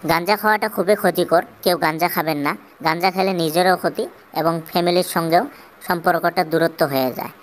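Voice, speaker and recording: A man's voice: a little high, steady tone, quick pace. A man presenting a spoken disclaimer directly to viewers. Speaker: video presenter.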